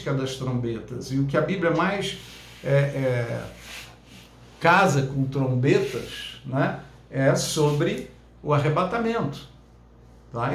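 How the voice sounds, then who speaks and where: Man, pastor teaching a lesson, fairly high voice, casual filler-heavy delivery, echoey room. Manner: conversational, many fillers and tag questions.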